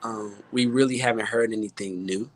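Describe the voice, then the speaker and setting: A man with an American accent talking casually as a guest music producer, halting and repeating words as he stresses his points. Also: lowish voice, fairly even intonation. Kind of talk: casual panel talk.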